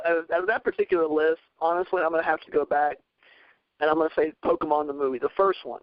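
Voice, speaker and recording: Higher-voiced man, rambling earnestly, muffled audio. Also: no echo. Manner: rambling, thinks out loud, earnest. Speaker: podcast panelist. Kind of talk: casual discussion. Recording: dull, radio-like lo-fi audio.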